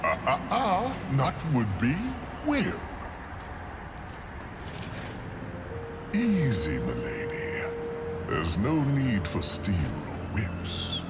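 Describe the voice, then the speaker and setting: An actor playing a pompous, scheming demon lord in a video game, silky and mockingly courtly. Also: male voice, medium pitch, wildly swooping pitch, takes it slowly, loud music behind the voice. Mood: smug, amused. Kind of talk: video game cutscene acting.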